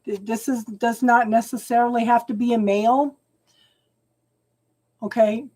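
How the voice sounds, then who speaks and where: A woman doing a live tarot reading at home, talking slowly and soothingly, often checking in with "okay?", and clearing her throat as she loses her voice. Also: a little high, fairly even intonation, darkish tone. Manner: slow, soothing, keeps adding "okay?". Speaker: tarot reader.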